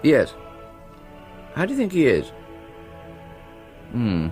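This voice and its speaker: Lively, understated English academic man. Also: medium pitch, takes it slowly, faint music behind. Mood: friendly, probing. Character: James, discreet, wry college academic man. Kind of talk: radio play acting, conversational.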